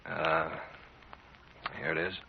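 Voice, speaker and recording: An actor playing a crook's polite, hesitant American assistant in a 1940s radio drama, deferential and uneasy. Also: male voice, a bass voice, little pitch movement, slow pace, creaky, a little hiss or hum, exaggerated voice. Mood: dutiful. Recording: muffled 1940s radio broadcast recording.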